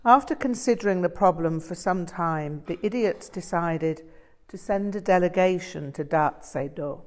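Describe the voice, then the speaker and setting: Slow, low-pitched woman storyteller. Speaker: audiobook narrator. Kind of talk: scripted storytelling narration.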